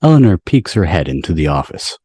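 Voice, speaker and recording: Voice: deep voice, very animated, brisk, mellow, slightly rough, no echo, clean studio sound. A narrator reading stage directions for an amateur table read on a video call.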